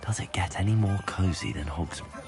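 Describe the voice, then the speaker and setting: Low-voiced young wizard hero. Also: male voice, a little fast, music in the background. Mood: musing. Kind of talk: video game voice acting.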